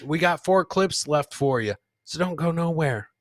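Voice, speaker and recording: Male comedy show host, higher-pitched, informal and rambling, riffing on clips, dry close sound. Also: animated, normal speed, no room sound. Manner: informal, rambling, joking asides. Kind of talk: casual live-show chat, commenting on clips.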